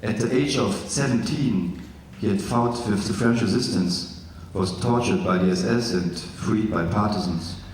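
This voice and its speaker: A man from East Germany with a German accent, reading from his memoir in careful, slightly halting English with jokey asides. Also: fairly low pitch, a little hiss or hum. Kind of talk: live literary reading. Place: large event hall. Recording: echoey hall from far off.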